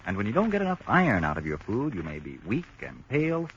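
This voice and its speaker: A male radio announcer from the 1940s with a low, lively, persuasive delivery. He speaks smooth and measured. The sound is dull and lo-fi, with faint hiss.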